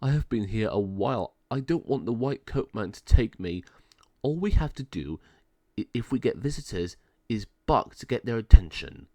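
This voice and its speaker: A man voicing a lonely abandoned male dog in a storybook, speaking in a gentle, resigned way. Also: lowish voice, expressive, moderate pace, few pauses, dry recording, clean studio sound. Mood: hopeful.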